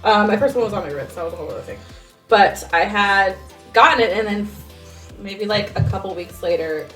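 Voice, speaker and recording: A young woman with a medium-pitched voice talks as a podcast co-host. She is informal and slangy, with soft music in the background. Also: a little fast. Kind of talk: casual chat.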